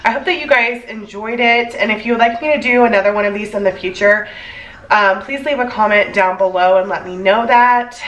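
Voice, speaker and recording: A woman's voice: medium pitch, fairly quick, fairly bright tone, lo-fi sound. A young woman teacher, home from work, talking tiredly with a stuffy, congested voice. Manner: chatty, tired, filler-heavy. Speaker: elementary school teacher. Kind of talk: casual vlog chat. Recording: echoey room, mic at a distance.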